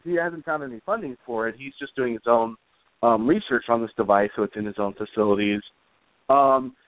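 A man giving casual, rambling interview answers as a guest author, speaking in a hesitant, halting way. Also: mid-range voice. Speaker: guest, comic book author. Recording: roomy, phone-like internet radio call.

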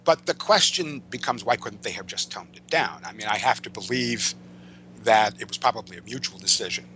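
An older American man speaking as an interview guest, reminiscing in a discursive, halting way and often correcting himself. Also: fairly low pitch, expressive, bright, forceful tone, slight background noise, rough recording. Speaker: podcast guest, veteran writer.

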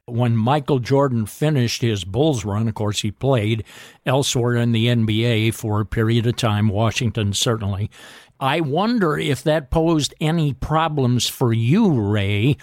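A man hosting a sports radio show, asking questions and signing off in a measured, courteous way. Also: medium pitch, darkish tone, dry recording, studio quality. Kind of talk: radio interview and sign-off.

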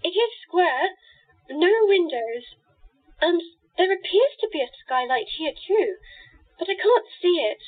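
Very high-voiced, lonely young princess played by a woman. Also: expressive, measured pace. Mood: forlorn. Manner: polite, questioning, earnest. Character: lonely, frightened, polite young woman dressed as Spanish princess. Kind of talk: radio play acting. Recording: tinny phone-like sound.

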